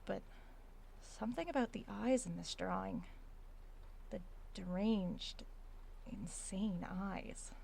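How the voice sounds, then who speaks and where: An actress playing a brittle, defensive young woman novelist in a horror audio drama, with clipped, strained delivery and a creaky, gravelly voice. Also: medium pitch, a little mellow, a little hiss or hum. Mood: uneasy, musing. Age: young adult. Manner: clipped, strained replies to her mother.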